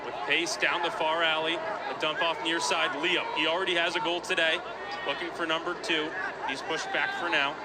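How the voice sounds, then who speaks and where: A man's voice: on the high side, level tone, normal speed. A young man calling a game live as play-by-play announcer, in clipped, descriptive phrases. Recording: loud crowd and arena noise.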